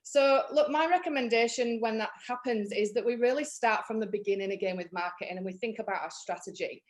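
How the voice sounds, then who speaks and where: A woman's voice: fairly high pitch, fairly quick. A woman from Leeds with a Yorkshire accent, running a marketing workshop on a webinar in a chatty, practical way, with frequent ums and you-knows. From Leeds, England. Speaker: marketing trainer.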